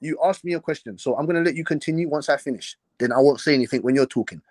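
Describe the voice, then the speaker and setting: This man argues at a very quick clip in a somewhat high voice. He is combative and repetitive, breaking in to correct and hammering each point with a pointed, formal politeness. It is an informal, heated debate, recorded dry and without room echo. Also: a little mellow. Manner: combative, repetitive, interrupting, says "sir" pointedly. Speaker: boxing debater.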